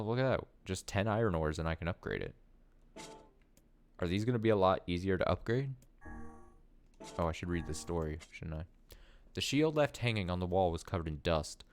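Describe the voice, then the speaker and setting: A man with a very low, deep voice talks his way through a video game stream in a loose, chatty way. He gets excited over new gear and reads item text aloud as he goes, with faint game music under him. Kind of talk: casual gameplay commentary.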